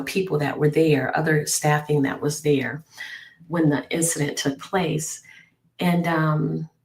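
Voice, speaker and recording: A woman with a low, hoarse voice, worn from a lingering cough. She rambles through a personal story, full of ums and restarts. The room around her sounds echoey. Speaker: travel nurse. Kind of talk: casual storytelling vlog.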